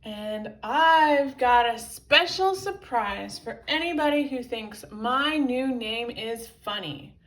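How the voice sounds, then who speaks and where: Woman playing mad professor villain, male, pompous, vengeful, loud indignant declaiming, slow delivery, children's audiobook. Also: on the high side, animated, rough recording. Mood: menacing, gloating.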